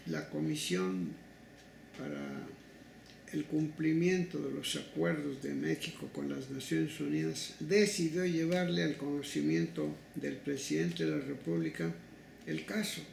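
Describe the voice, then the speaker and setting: An older Mexican man recounts past cases as an interviewee. His voice is very gravelly and fairly high for a man, and he speaks in a halting, deliberate way. The room rings with echo around him. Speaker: interviewee, human rights legal advocate. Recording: echoey room with faint noise.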